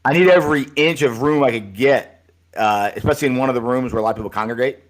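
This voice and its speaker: A man's voice: mid-range voice, fast talker. A man with a mid-range voice hosts a live football podcast in an easygoing, chummy way. He chats loosely and keeps calling his co-host buddy. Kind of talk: casual live podcast chat.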